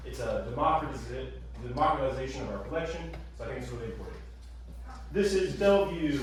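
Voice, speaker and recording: Fast, chatty man in an echoey hall. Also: mid-range voice. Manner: energetic, fast, chatty with audience. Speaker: museum staff presenter. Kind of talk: public talk with slides. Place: meeting room with audience. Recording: echoey room, far from mic.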